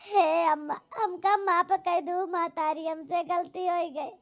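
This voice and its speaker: A woman's voice: very high-pitched, steady tone. A woman voicing a guilt-stricken daughter in a cartoon melodrama, crying and wailing remorsefully with an Awadhi accent. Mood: tearful remorse. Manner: wailing, drawn-out lament.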